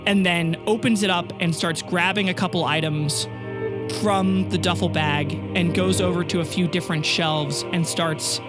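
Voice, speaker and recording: A woman game master improvising descriptive narration for a tabletop adventure. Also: fairly low pitch. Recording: prominent music under the voice.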